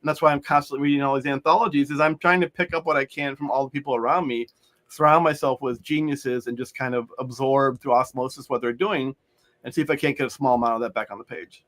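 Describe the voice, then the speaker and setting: A man's voice: medium pitch, steady tone, quick pace, fairly bright tone. A middle-aged man, an author, talking in a loose, rambling way with many restarts as an interview guest. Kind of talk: conversational interview.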